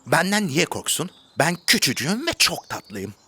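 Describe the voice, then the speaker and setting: A man's voice: on the high side, sing-song, normal speed, sharp tone, husky, heavily acted. A man voicing a small, nervous, indignant mouse in a children's cartoon, with fussy, theatrical delivery. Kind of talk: animated children's cartoon dialogue. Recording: music bed behind the voice.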